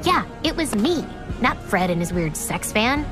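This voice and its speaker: A woman playing a snarky, deadpan teenage girl in an adult animated comedy. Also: fairly high pitch, a little slow. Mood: wry. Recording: music behind the dialogue.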